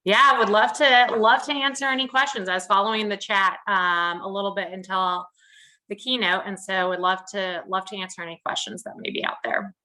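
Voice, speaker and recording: A woman's voice: medium pitch, fairly even intonation, fairly quick, bright, forceful tone, poor audio. A woman giving an informal keynote as a company employee, speaking with enthusiasm.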